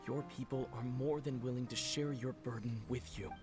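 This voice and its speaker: A man voicing a kind young traveler who speaks in a gentle, reassuring way. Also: medium pitch, fairly even intonation, normal speed, close to an everyday voice. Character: kind young male traveler, steady and consoling. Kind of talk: game voice acting. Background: game music.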